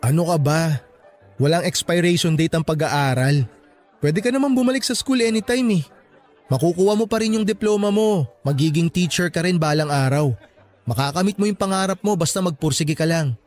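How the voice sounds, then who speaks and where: Man playing a high-voiced, earnest young graduate. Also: animated, a little fast, a little mellow, faint music behind, natural voice. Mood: earnest, encouraging. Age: young adult. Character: encouraging, teasing young man, new college graduate. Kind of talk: Filipino radio drama acting.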